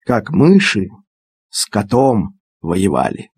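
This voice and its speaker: A man reciting children's verse as a theatrical storyteller, expressive and dramatic. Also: fairly low pitch, slow pace. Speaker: storyteller, performer. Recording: digitized cassette audio play. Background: music.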